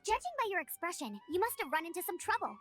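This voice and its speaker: A woman's voice: extremely high pitch, very animated, fast. A woman playing a perky, encouraging young scout knight in a video game, speaking in an upbeat, eager, friendly way. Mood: cheerful surprise. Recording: loud game music behind.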